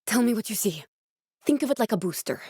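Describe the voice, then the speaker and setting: An actress playing an earnest, guarded woman scientist in a video game, speaking in a measured, serious, instructive way. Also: a little high, lively intonation, warm tone, breathy edge, no echo, studio quality. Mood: focused, briefing.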